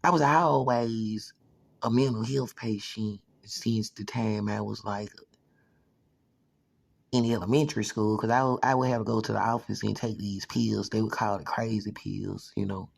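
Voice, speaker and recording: A man in his thirties talking in a rambling personal monologue, filling every pause with "you know.". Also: lowish voice, steady tone, vocal fry, no room sound. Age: 30s.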